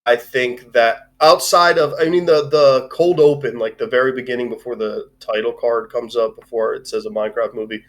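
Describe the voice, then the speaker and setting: Male podcast host, mid-pitched everyday voice, opinionated and digressive, casual review conversation. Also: steady tone, normal speed, hardly any pauses. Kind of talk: casual movie review chat.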